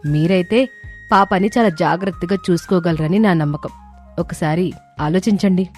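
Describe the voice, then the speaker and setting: A woman's voice: on the low side, moderate pace, darkish tone, voice pushed down, natural voice. A woman narrator puts on a concerned townswoman in a storybook tale. She is plaintive and persuasive, an everyday motherly figure speaking over music. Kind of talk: storybook dialogue read by narrator.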